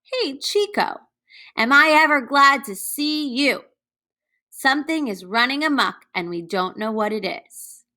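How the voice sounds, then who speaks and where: A woman's voice: sits high, animated, unhurried, sharp tone, heavily acted. A woman voicing a friendly male storybook character who is eager and pleading for a friend's help. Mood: relieved, urgent.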